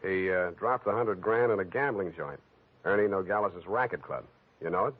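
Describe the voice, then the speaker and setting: An actor playing a wry, hard-boiled American private detective in a 1940s radio drama, deadpan and wisecracking. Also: male voice, sits low, normal speed. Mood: dry, guarded. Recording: muffled old radio recording, loud music.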